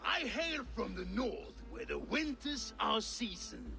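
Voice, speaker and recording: An actor playing a boastful, brutish Norse warrior in a video game, with a taunting, declamatory delivery. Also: male voice, high-pitched, sing-song, slow, vocal fry, over music, full character voice. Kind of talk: video game cutscene acting.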